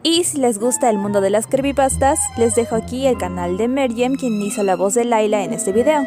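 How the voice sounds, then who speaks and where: A woman's voice: on the high side, brisk, music in the background. A woman with a Latin American Spanish accent chatting casually as a channel host. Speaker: channel host and comic creator. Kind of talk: casual video intro and outro.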